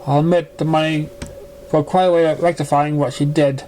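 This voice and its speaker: A man with a slightly high, soft-edged mellow voice reads fiction aloud in a halting, stumbling way. It is an amateur recording with noticeable background noise. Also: flat delivery. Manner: stumbling, halting reading with misread words. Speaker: amateur fan fiction reader. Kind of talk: reading a story aloud.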